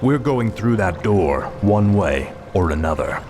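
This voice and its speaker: A low, cold death knight, arrogant and ruthless. He is impatient and sardonic. A game score plays beneath him. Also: expressive, measured pace. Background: music.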